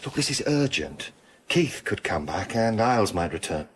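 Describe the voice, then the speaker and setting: An actor playing an earnest, worried police detective in a radio crime drama, cautious but insistent, sometimes hesitant. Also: male voice, on the low side, very animated, normal speed, fairly bright tone, husky, exaggerated voice. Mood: urgent, pleading.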